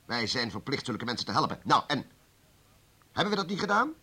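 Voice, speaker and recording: An actor playing a stubborn, suspicious communist official in a radio play, husky and forcefully argumentative. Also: male voice, mid-range voice, sing-song, brisk, exaggerated voice. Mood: heated, bitter.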